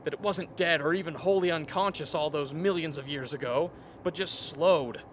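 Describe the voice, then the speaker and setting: A man's voice: on the high side, moderate pace, close to an everyday voice. Man acting an intense, haunted expedition scientist. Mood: haunted, brooding. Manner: intense, overwrought, repeats words for emphasis. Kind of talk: dramatic radio play acting. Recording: faint steady background noise.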